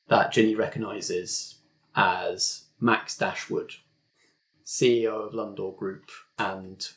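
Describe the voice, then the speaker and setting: A man's voice: fairly low pitch, low-quality recording. A man narrating a tabletop game as game master, descriptive with small restarts.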